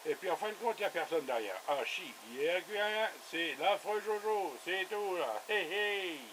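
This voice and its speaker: A man's voice: sits high, animated, moderate pace, a bit bright, a little hiss or hum, poor audio. A man chatting casually as an amateur radio operator, in a colloquial, easygoing way. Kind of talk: casual radio contact chat. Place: radio station with a camera running.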